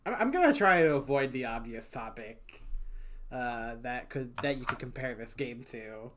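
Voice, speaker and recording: A woman's voice: a bass voice, animated, normal speed, a bit bright. A woman chatting casually as a streamer during a game playthrough.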